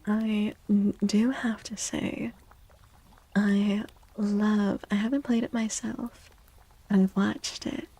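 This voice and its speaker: A woman speaking softly and breathily in a gentle, unhurried half-whisper, chatting as an ASMR livestream host. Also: medium pitch, flat delivery, breathy edge. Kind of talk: soft-spoken ASMR livestream chat. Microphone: close microphone, dry.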